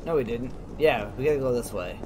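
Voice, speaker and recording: A man's voice: mid-range voice, lively intonation, a little fast. A man giving casual let's play commentary as a gaming host, informally thinking aloud. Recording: clearly noisy, game ambience behind.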